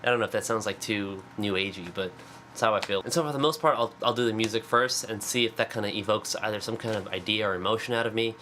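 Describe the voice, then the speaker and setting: A man's voice: fairly low pitch, quick pace, pushed and bright, a little hiss or hum. A congested man with a cold, chatting casually and self-deprecatingly about songwriting with lots of fillers. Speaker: singer-songwriter. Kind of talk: casual vlog talk. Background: acoustic guitar.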